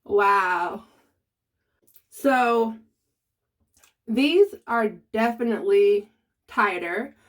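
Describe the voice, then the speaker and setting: A woman's voice: mid-range voice, drawn-out words, long pauses. A woman vlogger in a casual how-to video, chatty and speaking straight to her viewers, with a slight lisp from new aligners. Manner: chatty, addresses viewers directly.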